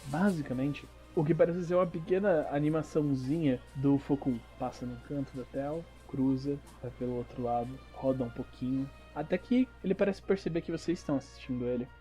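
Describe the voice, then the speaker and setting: A man's voice: medium pitch, mellow. A man with a Brazilian accent narrating a role-playing audio drama as game master, improvising descriptions and lingering on key words.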